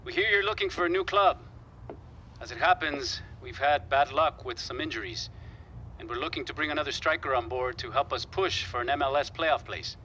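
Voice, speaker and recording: Bright, forceful persuasive football man. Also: fairly high pitch, fairly quick, slight background noise, rough recording. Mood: confident pitching. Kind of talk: video game cutscene acting. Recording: faint music behind.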